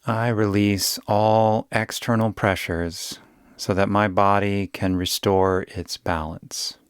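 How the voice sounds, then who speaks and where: A man guiding a yoga nidra meditation in a soothing, unhurried way, giving gentle instructions with long pauses. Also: lowish voice, steady tone, smooth, no room sound, clean studio sound. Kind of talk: guided meditation.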